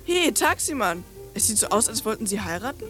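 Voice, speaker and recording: A woman's voice: fairly high pitch, very animated, brisk. An actress playing a brash, teasing, nosy young woman in a radio play. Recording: music playing behind.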